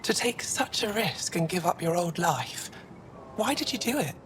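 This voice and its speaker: An actress playing a warm, curious female alien curator in a video game, thoughtful and inquisitive. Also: fairly low pitch, big pitch swings, moderate pace, slight background noise. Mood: awed, curious. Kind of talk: video game dialogue acting.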